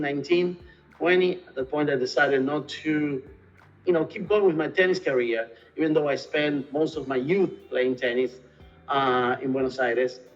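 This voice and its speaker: A man's voice: fairly high pitch, dull audio. Argentine-accented man, hesitant interview answers. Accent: Argentine Spanish. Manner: hesitant, many fillers and restarts. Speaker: real estate sales and marketing director.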